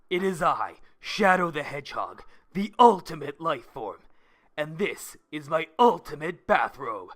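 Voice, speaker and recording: A man voicing a proud, boastful cartoon hedgehog with a pompous, formal, deadpan delivery. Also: fairly high pitch.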